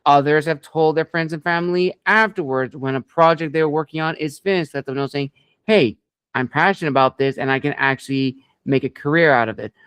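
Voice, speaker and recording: A man hosting an interview, framing his questions in a polite, deliberate and wordy way. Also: a little high, a bit bright, smooth, no echo. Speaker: interviewer, show host.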